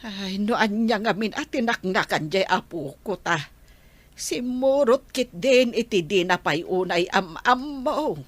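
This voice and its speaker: A woman's voice: mid-range voice, lively intonation, measured pace, dry recording. A woman acting a gossipy, disapproving neighbour in an Ilocano radio drama.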